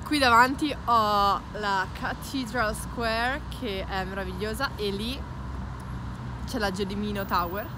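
A woman in her twenties chatting excitedly as she vlogs outdoors on city streets, holding her phone at arm's length. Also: mid-range voice, a little slow, background noise. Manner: chatty, excited, mixes English words. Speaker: vlogger. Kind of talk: casual travel vlog. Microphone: phone held at arm's length.

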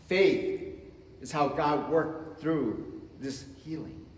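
A man in his fifties preaching a sermon from the front of a church sanctuary, slow and emphatic, swinging between loud and soft. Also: fairly high pitch. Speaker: pastor. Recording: echoey church with long reverb.

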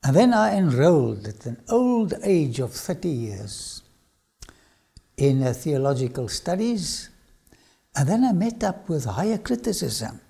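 An older man with an Afrikaans accent, a lecturer telling his own story to camera in a studio, swinging from loud phrases to whispers. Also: fairly high pitch, very animated, measured pace, creaky, husky. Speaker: archaeology lecturer. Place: studio with dark backdrop.

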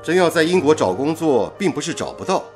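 A man's voice: fairly high pitch, fairly quick, faint music behind. An actor playing a dedicated young male radio engineer in a period radio drama, speaking earnestly and steadily. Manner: earnest, steady, gently persuasive. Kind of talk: 1930s-set radio drama acting.

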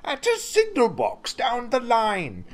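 A man voicing an exasperated male fire chief in a children's story, crying out loudly and urgently. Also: very high-pitched, wildly swooping pitch, takes it slowly, pitched above the performer's own voice, full character voice.

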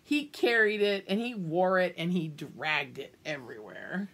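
An older woman reads a children's picture book aloud at an unhurried pace. Her voice is slightly husky and swings widely up and down, with big emphasis on key words and little asides to the children. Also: on the low side, lively intonation, a bit bright. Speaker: children's storybook reader. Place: small room at home, indoors.

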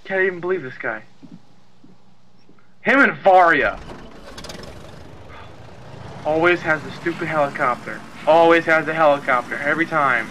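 Higher-voiced male gamer, engine noise behind. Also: normal speed, pauses often, pushed and bright. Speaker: video game player. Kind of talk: casual gaming chat, storytelling. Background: game vehicle engine noise.

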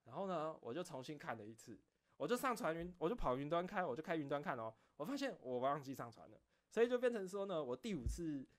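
A man's voice: on the high side, very animated, fast. A man telling personal stories in a casual streamer's chat, rambling and often repeating phrases for emphasis. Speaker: online streamer and game content creator. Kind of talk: casual late-night chat, personal storytelling.

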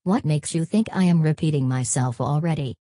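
A woman voicing an early therapist chatbot with a low, dark, husky voice. She answers in a flat, evasive way and turns each question back on the asker. The sound is close and dry. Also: brisk, no echo. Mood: deadpan. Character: literal-minded early therapist chatbot, female.

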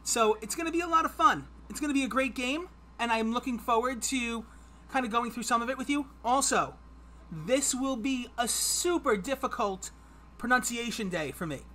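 A man's voice: extremely high pitch, lively intonation, moderate pace, pushed and bright, a little hiss or hum. A man in his thirties hosting a livestreamed trivia quiz from home, chatty and upbeat as he reads clues aloud. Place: home streaming room.

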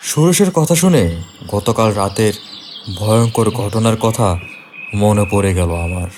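A man narrating a scripted first-person horror story for an animated cartoon, in a dramatic storytelling manner. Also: fairly low pitch, measured pace, slight background noise.

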